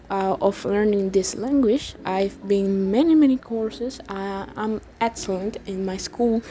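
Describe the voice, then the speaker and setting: An eighteen-year-old woman from the Dominican Republic, a native Spanish speaker with a Dominican accent, talking casually to viewers about herself and haltingly searching for words. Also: mid-range voice, dark tone. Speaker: language-learning YouTuber. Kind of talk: casual personal storytelling to viewers. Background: music.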